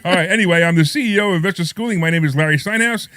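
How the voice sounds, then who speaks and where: A man's voice: high-pitched, rapid-fire, fairly bright tone, dry recording. A middle-aged male radio host with a New York accent and a slight lisp, chatting casually in a rambling, joking way. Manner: rambling, repetitive, self-deprecating joking. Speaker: radio talk show host. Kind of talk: casual, jokey talk radio chat and anecdotes.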